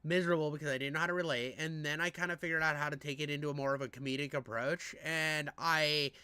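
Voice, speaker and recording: High-pitched nasal man, casual rambling commentary. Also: fairly even intonation, fast, bright, forceful tone, smooth, dry recording. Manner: crude, self-deprecating, repeats himself. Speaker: streamer reacting to a video.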